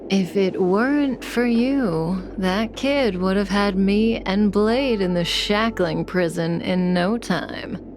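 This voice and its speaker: An actress playing a calm, enigmatic female outlaw in a video game, with composed, measured phrasing. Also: mid-range voice, noisy background, lightly acted. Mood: cool, composed. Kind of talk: dramatic video game voice acting. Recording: music under the voice.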